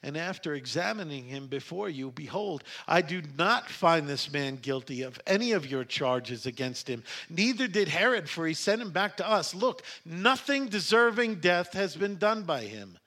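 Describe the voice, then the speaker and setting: A man's voice: a little high, very animated, normal speed, few pauses, pushed and bright, exaggerated voice. A man voicing a troubled, authoritative Roman governor in a Bible reading, declaiming, questioning and pleading. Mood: declaring.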